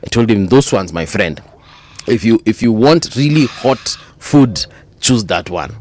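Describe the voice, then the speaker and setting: A man with a Kenyan accent co-hosting a morning radio show, telling animated stories in casual chat and mixing Swahili into his English. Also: fairly low pitch, a little slow. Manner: animated storytelling, mixes Swahili into English, laughs often. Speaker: radio co-host. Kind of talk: casual on-air banter. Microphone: studio microphone.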